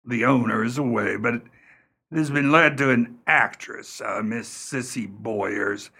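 A man as a stammering, gravelly, anxious caretaker. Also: medium pitch, sharp tone, full character voice. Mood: nervous, fussy. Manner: halting, stammering, repeats words. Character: fussy, anxious, hesitant residential caretaker. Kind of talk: radio play acting.

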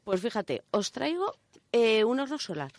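A woman's voice: fairly high pitch, sing-song, measured pace, no room sound. A woman with an accent from Spain explains things informally on radio, step by step, often checking that listeners follow. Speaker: radio show contributor presenting a section. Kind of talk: casual explanatory radio chat.

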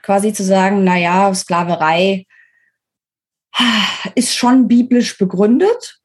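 A woman's voice: mid-range voice, slow pace, darkish tone. A woman giving articulate explanations as an interview guest, stressing key words. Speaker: interview guest, book author. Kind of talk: explanatory interview talk on a podcast.

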